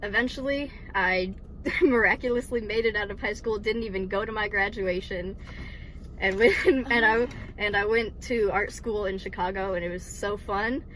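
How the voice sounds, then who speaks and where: A woman's voice: medium pitch, moderate pace, a bit bright, a little hiss or hum, poor audio. A woman in her twenties from Michigan, casually sharing her personal faith story with frequent laughs, ums and likes. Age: 20s. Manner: giggly, many ums and likes. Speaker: vlogger sharing testimony.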